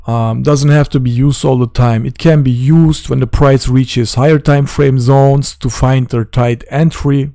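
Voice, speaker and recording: A man's voice: medium pitch, dark tone, dry recording, clean studio sound. Blunt non-native man walking through charts. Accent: non-native English, simple phrasing. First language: German or Turkish. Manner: informal, blunt, talks straight to viewers with frequent "guys" and fillers. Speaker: crypto trading educator. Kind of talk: casual screen-share chart lesson.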